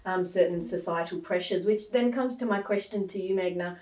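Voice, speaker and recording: Woman cohost, mellow warm tone, measured questions, roomy echo from a distance. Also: lowish voice. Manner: measured, builds on previous answers. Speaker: co-host. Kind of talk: interviewing guests. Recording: echoey room, distant mic.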